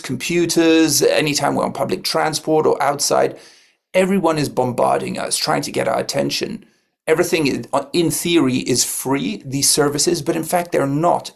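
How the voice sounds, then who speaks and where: A man hosting a live online meditation session, introducing it and welcoming his co-hosts in a calm, measured way. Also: medium pitch, gravelly. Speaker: host.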